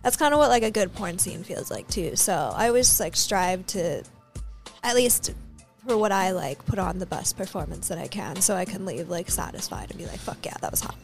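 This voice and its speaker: A woman's voice: mid-range voice, normal speed, gravelly, faint music behind. A young woman with an American Southwestern, Valley-girl accent, chatting casually as an interview guest and peppering her talk with "like.". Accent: American Southwestern with a Valley-girl twang. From American Southwest, New Mexico. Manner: Valley-girl talk, filler-heavy with frequent "like". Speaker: interview guest, adult film performer.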